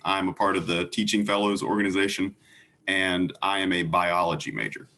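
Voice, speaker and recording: Young man, from South Carolina, student panelist, very low voice, bright tone. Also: normal speed, gravelly. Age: young adult. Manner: brief formal self-introduction. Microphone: video call.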